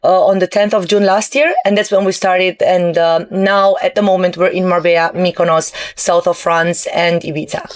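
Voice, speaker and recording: A woman in her twenties from Romania, answering interview questions in a relaxed way, often pausing with ums and uhs. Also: fairly low pitch. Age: 20s. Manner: hesitant, many ums and uhs. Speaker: entrepreneur guest. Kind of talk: casual interview. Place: on board a yacht.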